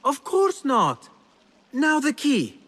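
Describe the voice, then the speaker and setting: An actor voicing an exasperated young infiltrator posing as a servant, dry and measured, in a video game cutscene. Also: male voice, extremely high pitch, wildly swooping pitch, fairly bright tone, exaggerated voice. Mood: wry, indulgent.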